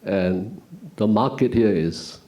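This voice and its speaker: A man in the audience asking a question, halting with "um" and "uh". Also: on the low side, dark tone. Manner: slow, halting, with "um" and "uh".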